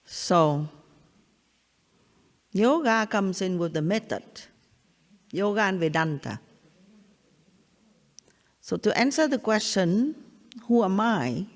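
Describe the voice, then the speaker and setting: An older woman from Vietnam with a Vietnamese accent, giving a spiritual talk in a halting way, often repeating herself and checking in with listeners. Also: lowish voice. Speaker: spiritual teacher. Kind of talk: satsang talk. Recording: long hall reverb.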